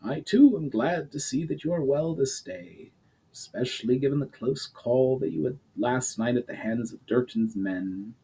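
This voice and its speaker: A man voicing a fatherly fantasy duke in a storybook reading, firm, formal and concerned, with measured gravity. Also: medium pitch, dark tone, slightly rough, close to an everyday voice, rough recording.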